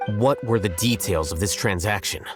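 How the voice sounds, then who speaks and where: A man playing an aloof, coolly logical young scholar in high office in a game, speaking in a dry, articulate way. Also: normal speed, natural voice. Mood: cool questioning. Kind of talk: measured game dialogue acting. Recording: music clearly behind the voice.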